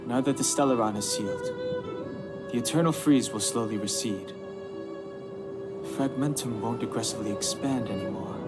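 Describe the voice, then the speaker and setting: A man playing a calm, logical observer in a video game cutscene, speaking in a measured, analytical way. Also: medium pitch, natural voice. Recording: loud violin music behind the voice. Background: violin music.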